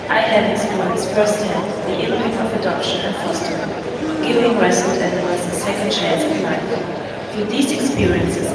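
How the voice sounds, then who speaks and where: A young woman delivers a sincere, steady pageant speech. She is heard from a distance in a large, reverberant hall, with a crowd loud behind her. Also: mid-range voice. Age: young adult. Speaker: pageant contestant. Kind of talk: reciting a prepared speech. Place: large indoor events hall. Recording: echoey hall from far off, crowd noise.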